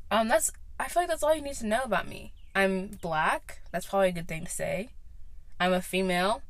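A woman's voice: fairly bright tone, dry recording. Chatty teenage girl podcasting from a car. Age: late teens. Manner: chatty, rambling, with many fillers and sudden high exclamations. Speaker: podcast host. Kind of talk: casual solo podcast chat. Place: inside a car.

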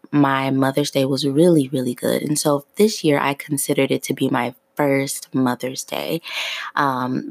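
A woman in her thirties hosting her own podcast in a relaxed, free-flowing chat, her voice still sleepy in the morning. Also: rumbling low, vocal fry, no echo. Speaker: podcast host. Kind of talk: casual solo podcast chat.

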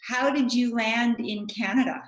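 A woman's voice: fairly high pitch, fairly even intonation, a little slow, roomy sound, rough recording. A woman hosting a faith interview, asking questions and affirming her guest's answers. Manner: encouraging, affirming. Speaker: interviewer, host.

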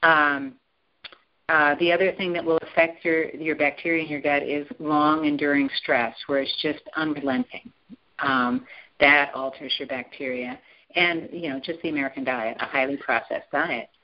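An enthusiastic woman with a lowish voice, talking as an interview guest. She is emphatic and swoops upward in pitch for emphasis. The sound is dull and lo-fi. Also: moderate pace. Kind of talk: animated interview talk.

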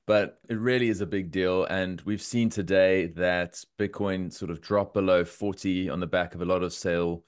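A man's voice: sits low, fairly even intonation, normal speed, hardly any pauses, clear, resonant tone. A man hosting a podcast interview, upbeat and enthusiastic, sniffly from a cold. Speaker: podcast host.

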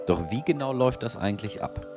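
A man co-hosting a casual theological podcast, explaining in a relaxed way and thinking aloud with many fillers. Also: medium pitch, fast, over music. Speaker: podcast co-host.

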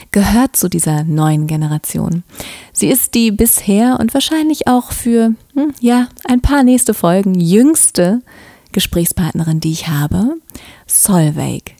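A young woman from Berlin with a Berlin accent, hosting a podcast interview in a gentle, soft-spoken way that often sinks to a near-whisper. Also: on the low side, no echo, studio quality. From Germany. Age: young adult. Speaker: podcast host. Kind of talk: conversational interview.

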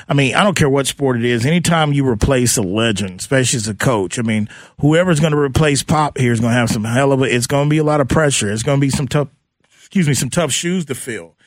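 A man's voice: mid-range voice, no echo. Casual male sports radio host, dry studio sound. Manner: informal, conversational.

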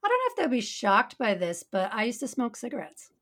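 A middle-aged woman with a naturally raspy voice, co-hosting a podcast in casual chat, laughing often and talking in quick asides. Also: on the high side, wildly swooping pitch, fairly bright tone. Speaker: podcast co-host.

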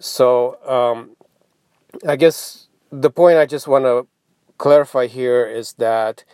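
A man whose first language is Romanian, giving an informal explanatory podcast monologue in a halting, reflective manner with frequent pauses. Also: medium pitch, fairly even intonation, a little mellow, clean tone, no room sound. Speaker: podcast host.

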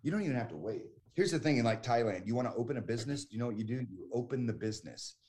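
A man's voice: medium pitch, animated, very fast, a little mellow. A man in his forties from the United States, talking as a guest in a casual conversation, chatty and emphatic with frequent restarts. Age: 40s.

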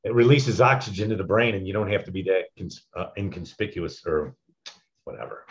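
Low deep guy going over public speaking tips, loud, laid-back and rambly, kinda muffled lo-fi audio. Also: a little fast. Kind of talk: casual teaching chat.

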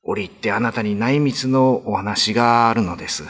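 A man's voice: on the low side, normal speed, a bit bright, slightly rough, in a large hall. An actor playing a deferential male servant in a radio drama, speaking in a formal, hesitant, confiding manner. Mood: secretive, deferential. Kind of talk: Japanese radio drama acting.

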